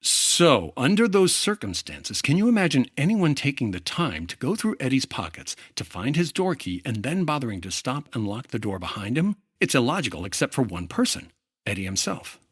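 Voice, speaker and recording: An actor playing a sharp, wry insurance investigator in an old-time radio detective style, with a dry, analytical delivery. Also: male voice, medium pitch, big pitch swings, moderate pace, husky, dry recording. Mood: reasoning.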